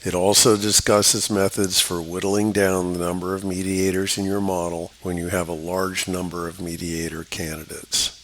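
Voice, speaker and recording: A man delivers a scripted lecture in a low-pitched voice, weighed down by a cold. His intonation stays very flat. The delivery is formal and measured, that of an academic explaining methods step by step. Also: rough recording. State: has a cold. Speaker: lecturer, book author.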